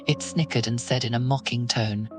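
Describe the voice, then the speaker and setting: A man narrating a horror audio roleplay in the second person, with a steady, ominous delivery. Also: medium pitch, moderate pace, over music.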